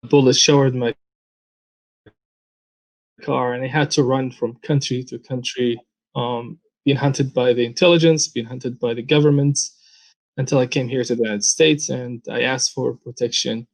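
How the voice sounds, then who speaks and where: A man's voice: medium pitch, steady tone, vocal fry, low-quality recording. Earnest Saudi man telling his story. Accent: Saudi Arabian. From Saudi Arabia. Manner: earnest, many fillers, slow and halting with sudden quick stretches. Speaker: interview guest, former doctor turned ministry worker. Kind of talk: personal testimony in an interview.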